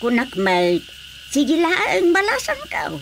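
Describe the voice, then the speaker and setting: A woman's voice: very high-pitched, huge pitch swings, slow, a little hiss or hum, exaggerated voice. An actress playing an outspoken, scolding mature village woman in a radio comedy drama, emphatic and exclamatory. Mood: insistent.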